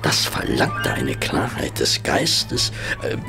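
An actor playing a loyal, easily amazed Victorian gentleman companion in an audio drama, speaking in a hesitant, musing, expressive way. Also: rumbling low, takes it slowly, music in the background, exaggerated voice. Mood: musing admiration. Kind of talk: German audio drama dialogue and narration.

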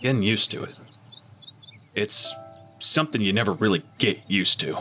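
A man's voice: fairly low pitch, moderate pace, sharp tone. A man playing a world-weary, skeptical murder investigator in an audio drama, terse and matter-of-fact. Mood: weary, probing. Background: music.

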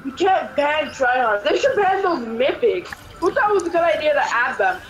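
A woman chatting casually with friends during a game, exclaiming and reacting in an animated way. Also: high voice, normal speed, over music, rough recording. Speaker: gaming friend. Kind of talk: casual gameplay chat.

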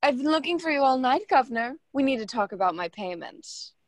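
A woman's voice: high-pitched, expressive, a little fast, no echo. A young woman acting in a radio play as a scheming, haughty society hostess, condescending and taunting. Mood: cold, demanding. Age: young adult.

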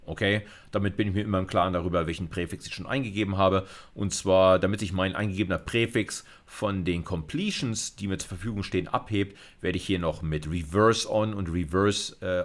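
A middle-aged man giving an informal university lecture, thinking aloud in a colloquial way as he demonstrates. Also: low voice. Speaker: university lecturer in computer science. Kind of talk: informal lecture narrating a live coding demo.

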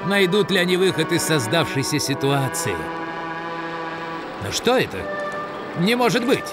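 Male cartoon narrator, fairly high voice, theatrical and suspenseful, loud music underneath. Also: big pitch swings, a little slow. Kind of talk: dramatic scripted narration.

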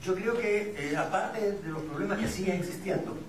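A man's voice: high voice, moderate pace, a loud crowd. Peruvian guy giving a panel talk, explains things with rhetorical questions, mic far away, some audience noise. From Peru. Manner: reflective and didactic, poses rhetorical questions. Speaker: migrant community representative speaking on a panel. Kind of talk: public talk at a discussion forum. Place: indoor event room at a public forum. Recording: echoey room, distant mic, audience sounds.

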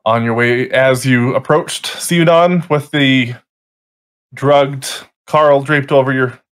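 A man's voice: mid-range voice, steady tone, slow pace, smooth. A man in the game master's seat talks through what happened last session in a loose, rambling way. He stops often to correct himself and pick up the thread again. He speaks through a home mic on a voice call. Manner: casual, rambling recap with self-corrections. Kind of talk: recapping a tabletop game session.

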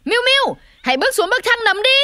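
A woman's voice: extremely high pitch, very animated, a little fast, pushed and bright, heavily acted. A woman voicing a caring young boy panda in a children's cartoon. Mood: eager. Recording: music under the voice.

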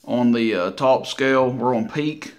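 Guy, medium pitch, folksy and unhurried, showing off gear on a home video. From Tennessee, United States. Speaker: CB radio hobbyist presenting his equipment. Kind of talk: casual hands-on demo narration. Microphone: handheld camera mic, operator behind it.

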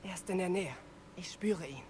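A man plays an imprisoned adult wizard in a fantasy game. He speaks with measured, polite urgency over clearly audible dungeon ambience. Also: sits high, darkish tone, slight background noise, natural voice. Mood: composed, urgent. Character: composed captive adult male wizard, worried husband. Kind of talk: video game dialogue.

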